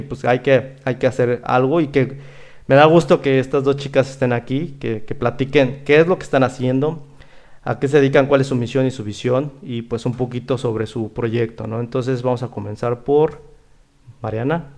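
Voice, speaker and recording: A male host with a Mexican accent and a noticeably creaky, gravelly voice. He interviews in a casual, conversational style, rambling along and often tagging his phrases with a questioning check. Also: medium pitch, moderate pace, darkish tone. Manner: rambling, often seeking agreement with tag questions. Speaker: podcast host.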